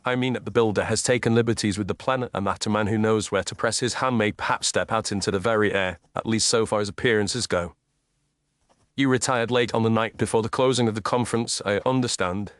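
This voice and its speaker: Man voicing precise, low-voiced gentleman detective. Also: fairly even intonation, a little fast, dry recording, close to an everyday voice. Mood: composed, analytical. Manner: precise, formal, deductive. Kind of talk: audiobook character dialogue.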